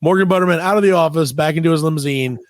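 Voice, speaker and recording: A man with a gravelly voice hosting a comedy podcast in a casual, conversational style. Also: on the high side, rapid-fire, no room sound, clean studio sound. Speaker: podcast host.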